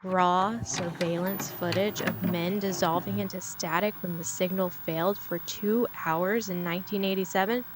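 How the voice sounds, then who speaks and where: A woman's voice: on the low side, fairly even intonation, normal speed, hardly any pauses, slight background noise, poor audio. A woman reading a scripted horror story as its narrator.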